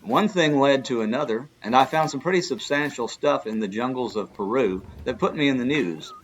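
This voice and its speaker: A man's voice: mid-range voice, moderate pace, a little hiss or hum, close to an everyday voice, rough recording. A man playing a good-natured older history professor and adventurer who explains things in a children's radio drama. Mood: genial storytelling. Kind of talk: kids' radio drama acting.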